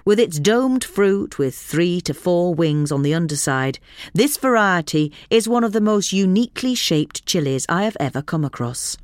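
A woman's voice: fairly low pitch, lively intonation, normal speed, dry recording, clean studio sound. A woman reading a gardening article aloud as a scripted magazine narration.

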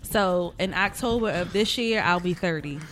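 A woman's voice: lowish voice, moderate pace, poor audio. A woman in her twenties hosting a podcast, talking in brash, profane, joking casual chat. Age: 20s. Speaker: podcast host.

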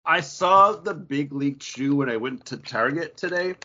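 Slightly husky man chatting casually. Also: on the high side, lively intonation, moderate pace, pushed and bright, muffled recording. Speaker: podcast co-host. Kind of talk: casual joking chat.

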